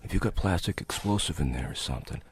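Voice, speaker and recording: An actor in an audio drama playing a wary contraband smuggler, with a gravelly, husky voice and a hushed, casual manner. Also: male voice, low voice, expressive, quick pace, heavily acted. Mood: furtive. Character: wary, low-key male contraband smuggler.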